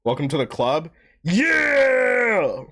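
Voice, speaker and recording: A man's voice: high voice, huge pitch swings, extremely slow, pushed and bright. A man of twenty-eight, chatting casually and a bit tipsy on a gaming live stream, rambling in slang and swearing often. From New York. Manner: rambling, slangy, profane, says "bro" constantly.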